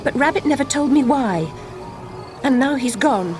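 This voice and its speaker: An actress playing a somber, defiant young woman, bitter and questioning, in a dark fantasy video game. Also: high-pitched, expressive, moderate pace, over music. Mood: bitter, troubled.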